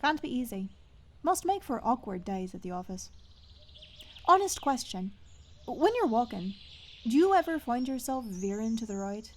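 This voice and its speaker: Woman playing a high-voiced, sharp-tongued townswoman. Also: sing-song, moderate pace, long pauses, a little hiss or hum. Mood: dry mockery. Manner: dry, cutting. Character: sharp-tongued, defiant female local, loyal and dryly mocking. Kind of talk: fantasy audio roleplay acting.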